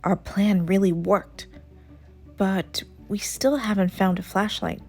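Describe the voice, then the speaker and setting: A woman voicing an excitable, suspicious young boy camper in a children's story, with an emphatic childlike manner. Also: mid-range voice, takes it slowly, soft background music. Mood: pleased, puzzled.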